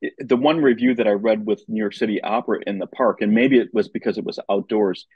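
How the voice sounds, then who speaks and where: A man's voice: fairly low pitch, steady tone, fast, slightly rough, no echo, highs cut off. A man chatting casually as a guest commentator on an arts podcast. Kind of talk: casual chat.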